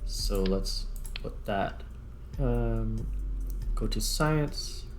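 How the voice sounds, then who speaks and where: Low-voiced man narrating his gameplay. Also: very slow. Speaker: gaming video host. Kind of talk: casual gameplay commentary, thinking aloud. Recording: music playing behind the voice. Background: background music.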